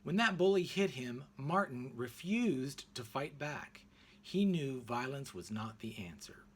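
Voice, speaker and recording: A man reading a children's picture book aloud expressively, in deliberate phrases with emphatic pauses. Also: mid-range voice, lively intonation, husky. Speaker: read-aloud narrator.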